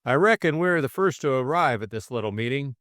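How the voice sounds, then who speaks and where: A man's voice: medium pitch, animated, brisk, fairly bright tone, dry recording, clean studio sound, pitched above the performer's own voice, natural voice. Man voicing a drawling American conspirator with Irish lilt. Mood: wry, at ease. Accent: American with a hint of Irish. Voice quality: full, pleasant drawl. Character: wiry lean American man in a secret society.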